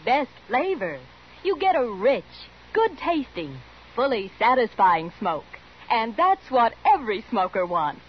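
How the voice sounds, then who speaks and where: American female commercial announcer: unhurried, lively intonation. Muffled vintage radio sound. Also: a little high, breathy edge. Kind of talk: 1950s radio advertising pitch. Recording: dull, slightly noisy 1950s radio recording.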